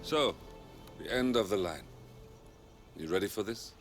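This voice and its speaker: An actor playing a wry, world-weary male partner in a game vendetta, talking in an unhurried, philosophical way. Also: on the low side, lively intonation. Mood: reflective. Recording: cinematic music behind the voice.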